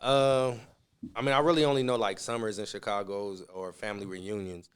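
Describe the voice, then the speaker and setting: A man from Southern California with a smooth, clear, fairly low voice. He is a guest in a casual interview, talking in a laid-back and slangy way. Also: steady tone, a little fast. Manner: laid-back, slangy, often says "you feel me". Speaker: interview guest, musician and entrepreneur. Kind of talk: casual conversational interview answers.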